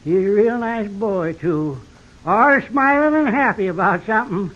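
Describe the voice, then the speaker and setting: An actor playing a loony, territorial old prospector with a cackling voice and a rustic Western American accent in an old-time radio western. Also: male voice, lively intonation, measured pace, a bit bright. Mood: chatty. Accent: rustic American West. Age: older. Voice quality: cackling, high old-timer voice. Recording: dull lo-fi vintage radio recording.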